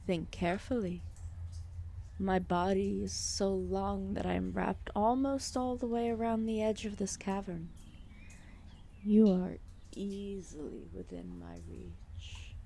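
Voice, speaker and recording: A woman's voice: mid-range voice, vocal fry, warm tone, a little hiss or hum. A woman voicing a giant, ancient serpent deity, regal, drowsy and amused, speaking in formal, condescending phrases in an ASMR roleplay audio drama. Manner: slow, languid, formal, condescending speech.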